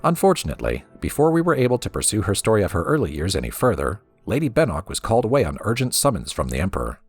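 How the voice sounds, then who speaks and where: Guy with a really low voice reading a story out loud, super up and down delivery, music playing. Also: huge pitch swings, quick pace, husky. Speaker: let's play host reading in-game books aloud. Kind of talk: expressive scripted narration, storybook reading.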